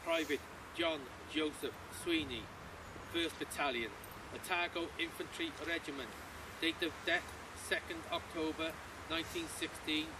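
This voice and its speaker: A man reads a roll of the fallen in a formal, even manner. He stands away from the mic outdoors, and clear background noise is heard. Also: fairly high pitch, far from the mic. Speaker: reader at a remembrance service.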